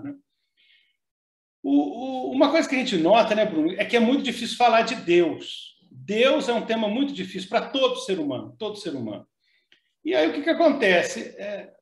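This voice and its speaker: Measured man in an echoey room. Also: high voice. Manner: measured, hesitant, frequent "né?" and restarts. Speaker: co-host. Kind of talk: casual discussion.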